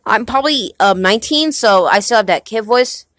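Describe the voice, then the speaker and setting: A young man playing a halting nineteen-year-old husband. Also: high-pitched, expressive, a bit bright, no echo, studio quality. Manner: hesitant, halting, many restarts. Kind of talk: amateur home movie acting.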